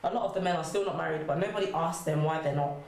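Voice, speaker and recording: A woman's voice: low-pitched, rapid-fire, vocal fry, a little mellow. A young adult woman speaking as a guest in a casual panel discussion, illustrating her points with acted-out quotes. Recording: very echoey room, faint noise.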